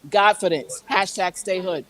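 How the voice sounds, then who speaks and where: A woman's voice: mid-range voice, a little slow, a crowd in the background, rough recording. A woman from New Jersey co-hosting a podcast, talking casually and repeating words for emphasis. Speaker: podcast co-host. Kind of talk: casual chat.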